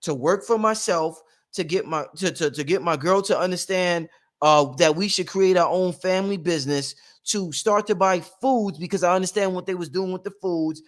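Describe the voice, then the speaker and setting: High-voiced preachy call-in host, man. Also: moderate pace. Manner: preachy, emphatic, repeats phrases for stress. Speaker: host taking calls. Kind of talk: live call-in show talk.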